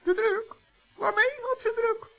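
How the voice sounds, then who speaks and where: A tiny, extremely high-pitched forest gnome, trusting and plucky. He talks in a chirpy, earnest, childlike way, as in a children's radio play. The old recording is dull and lo-fi. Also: fairly even intonation, unhurried, faint music behind. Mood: calm, curious. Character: small kind-hearted trusting male forest gnome, cheerful and plucky.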